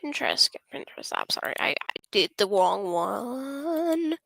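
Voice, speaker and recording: A woman's voice: mid-range voice, very animated, unhurried, gravelly, fairly bright tone, slight background noise. A woman livestreamer chatting casually and unscripted, thinking aloud in a scattered, apologetic way. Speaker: live streamer.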